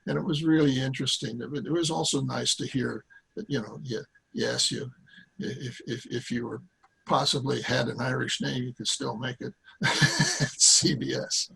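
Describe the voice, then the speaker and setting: Husky, gravelly older American man. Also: mid-range voice, fairly even intonation, sharp tone, rough recording. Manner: halting, repeats words. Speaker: club member. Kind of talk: casual chat. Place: cluttered small room at home, on a video call. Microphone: computer mic on a video call.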